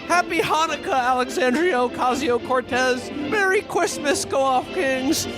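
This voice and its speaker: Man playing a very high-pitched, melodramatic podcaster. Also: a little slow, over music, rough recording. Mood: overjoyed. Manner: stammering, melodramatic. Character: distraught, melodramatic podcaster shown a world without him. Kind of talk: comedic radio play acting.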